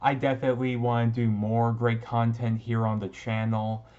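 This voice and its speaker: A man's voice: mid-range voice, flat delivery, normal speed, darkish tone, muffled recording. A man who makes roleplay audio, talking casually and earnestly to his listeners in an informal outro.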